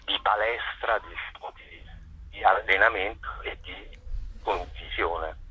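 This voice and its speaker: A man's voice: low-pitched, slow pace, sharp tone, dull audio, slight background noise. A man answering interview questions as a festival director, explaining at length in a hesitant, discursive way.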